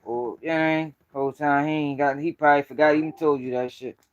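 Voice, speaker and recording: A man's voice: a little high, fairly even intonation, fast, dull audio. A man with a Baltimore accent hosting a casual livestream, talking to viewers in loose, profane street slang. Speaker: host of a commentary channel.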